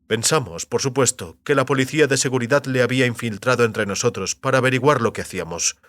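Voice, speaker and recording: A man voicing an aging company boss, wary and weary, with formal, measured speech that can turn startled, in an audio drama. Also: medium pitch, a bit bright, no echo, close to an everyday voice. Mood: weary, resigned. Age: older.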